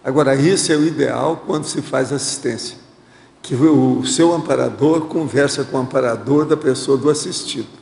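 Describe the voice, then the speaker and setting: A man's voice: mid-range voice, moderate pace, creaky, a little mellow. A Brazilian man hosting a studio Q&A who reads out questions and gives plain, direct advice. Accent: Brazilian Portuguese. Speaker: host reading viewer questions. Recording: echoey hall reverb.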